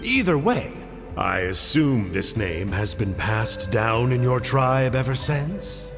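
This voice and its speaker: A mannered, quirky gentleman with wildly swinging intonation and old-fashioned exclamations. He speaks slowly and theatrically. A long reverb and clear music fill the space around him. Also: mid-range voice, sing-song. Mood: curious. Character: quirky genteel man called Uncle Glasses. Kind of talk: game dialogue voice acting.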